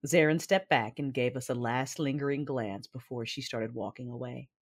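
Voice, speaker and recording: Grave, formal warrior woman narrator played by an actress. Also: a bass voice. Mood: solemn, restrained. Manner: measured, formal, literary. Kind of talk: first-person audio drama narration.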